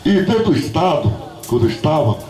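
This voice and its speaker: A hoarse man in his sixties, a former school director, giving a rambling, chatty ceremony speech full of asides. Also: a little high, sing-song, a little fast, warm tone, faint music behind. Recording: far-off voice in an echoey hall.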